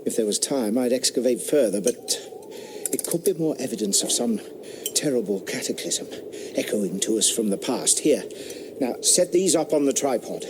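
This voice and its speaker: A man plays a curious eighteenth-century country squire in a radio drama. His voice rises and falls with eager energy, sinking to a hush as he lays out his ideas. Steady outdoor ambience runs under him. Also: mid-range voice, moderate pace, background noise, lightly acted. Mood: pondering. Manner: earnest, eager, hushed when explaining theories. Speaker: squire investigating ghosts. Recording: audio drama with woodland ambience.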